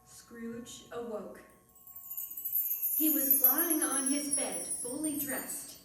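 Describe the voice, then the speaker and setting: A woman's voice: sits high, drawn-out words, a little mellow, soft background music. A woman narrating a radio play from a script, in a storytelling role. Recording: noisy, very echoey room, far from mic.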